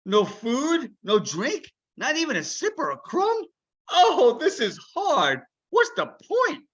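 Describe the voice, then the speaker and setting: A man plays a small, hungry storybook duck who complains and questions everything. The voice is high and whiny, swooping up and down, on a slightly dull recording. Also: wildly swooping pitch, takes it slowly, a bit bright, full character voice. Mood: dismayed, complaining.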